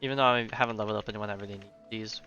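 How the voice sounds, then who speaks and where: A man's voice: fairly low pitch, steady tone, rapid-fire, bright, forceful tone. A young man streaming a game, giving casual commentary and musing aloud. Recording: dull microphone, game music behind. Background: game music.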